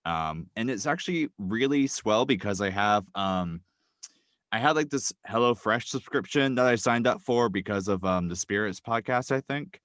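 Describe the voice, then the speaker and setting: A man's voice: fairly low pitch, expressive, moderate pace, a bit bright, dry recording. A man talking as a guest in a casual chat, rambling with lots of ums and likes, recording in a small closet with a blanket-wrapped mic. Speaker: podcast guest. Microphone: microphone wrapped in a blanket. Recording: dry, deadened closet sound.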